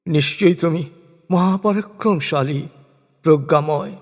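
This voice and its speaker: A man giving a solemn, measured reading of a translated scripture, phrase by deliberate phrase. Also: fairly high pitch. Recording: long cavernous reverb.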